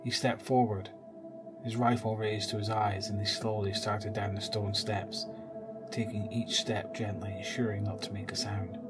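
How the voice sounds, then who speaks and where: A man's voice: on the low side, little pitch movement, normal speed, loud music behind the voice. A man narrating a scripted horror audio drama.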